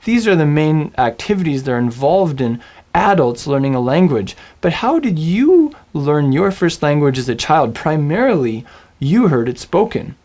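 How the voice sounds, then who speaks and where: Man teaching with slow dramatic pauses. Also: fairly high pitch, sing-song, a little mellow. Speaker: Biblical Hebrew teacher. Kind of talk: scripted storytelling lesson.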